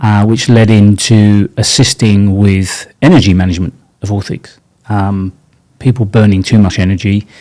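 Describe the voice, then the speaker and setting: A man's voice: fairly low pitch, steady tone, normal speed, few pauses, darkish tone. A man speaking as a panel guest from industry, earnest, with frequent ums. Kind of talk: casual panel discussion.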